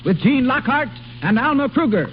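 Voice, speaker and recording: A man's voice: very high-pitched, big pitch swings, moderate pace, background noise. An American man announcing in proclaiming 1930s radio style, reading credits and sponsor copy. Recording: muffled 1930s radio broadcast.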